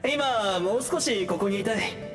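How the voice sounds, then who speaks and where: A man's voice: sits high, lively intonation, unhurried, a bit bright, soft background music, exaggerated voice. A man voicing a casual, friendly male ghost in a Japanese video game. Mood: casual, musing.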